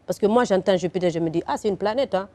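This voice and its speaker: A woman's voice: medium pitch, quick pace, darkish tone. A woman hosting a talk show, asking curious, probing questions. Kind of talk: interview.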